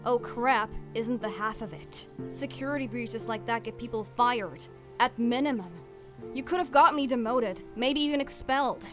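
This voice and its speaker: A woman voicing an exasperated, sensible older-sister type, stern and sardonic but caring, in an animated comic dub with music behind her. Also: on the high side, normal speed, close to an everyday voice. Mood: scolding.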